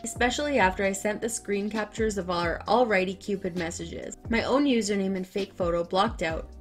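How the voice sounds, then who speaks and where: A woman reading online stories aloud, then reacting to them with loud, animated outbursts. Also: medium pitch. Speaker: story narrator.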